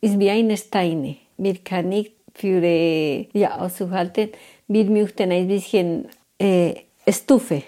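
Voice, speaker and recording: Mellow-voiced woman from Bolivia. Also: on the low side, steady tone, slow pace. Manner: hesitant, searching for words. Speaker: interviewee. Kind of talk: personal account in learner German.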